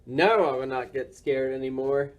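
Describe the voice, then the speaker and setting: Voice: medium pitch, normal speed, close to an everyday voice. A reader voicing a simple, cheerful, easily startled animal in a children's storybook. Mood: cheerful, naive. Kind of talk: children's storybook read-aloud.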